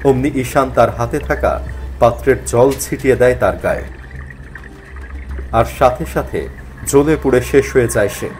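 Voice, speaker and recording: A man's voice: medium pitch, normal speed, long pauses, darkish tone, slight background noise. A man narrating a scripted horror story in a dramatic first-person storytelling style.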